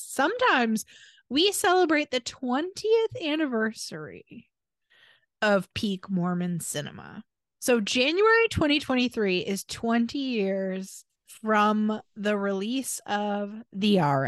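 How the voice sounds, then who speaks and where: A woman's voice: mid-range voice, very animated, slow, no room sound, studio quality. An American woman co-hosting a podcast in casual chat, telling stories in a chatty, wry way. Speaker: podcast co-host.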